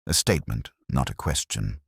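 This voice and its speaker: A man playing a melancholy detective who recalls a case in measured, literary first-person mystery narration. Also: rumbling low, expressive, breathy edge, dry recording, studio quality, heavily acted. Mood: brooding, reflective. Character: melancholy older male English police detective recalling a case. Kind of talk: scripted first-person mystery narration, radio drama style.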